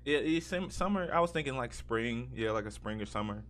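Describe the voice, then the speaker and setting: A man's voice: mid-range voice, lively intonation, brisk, fairly bright tone, a little hiss or hum. A man hosting a casual gaming podcast, talking in a rambling way as he thinks aloud. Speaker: podcast host.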